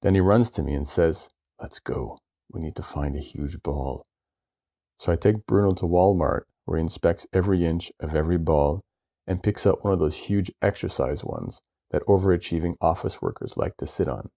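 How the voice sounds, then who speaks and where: Male story reader, low and creaky, conversational delivery of first-person fiction. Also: moderate pace, dark tone. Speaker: author reading own short story.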